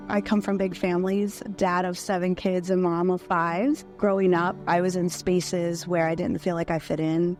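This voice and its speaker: A woman's voice: on the low side, a little mellow. A woman speaking in an upbeat, heartfelt way in an interview testimonial. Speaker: employee, ally.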